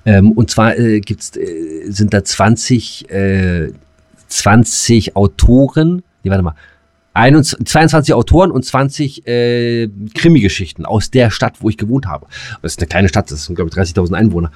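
Low-voiced man, dry sound. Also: a little mellow, dry recording. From northern Germany. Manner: halting, self-correcting, colloquial. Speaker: podcast co-host. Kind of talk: casual unscripted podcast banter.

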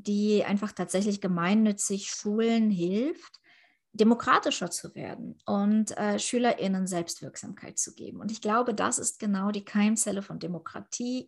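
A woman's voice: mid-range voice, darkish tone. A woman in her thirties from Ukraine, answering interview questions in an earnest, thoughtful way. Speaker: interviewee, politician.

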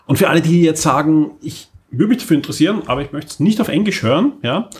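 A man's voice: on the high side, lively intonation, fast. A man with an Austrian accent hosting a casual podcast discussion, repeating phrases for emphasis. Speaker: podcast host.

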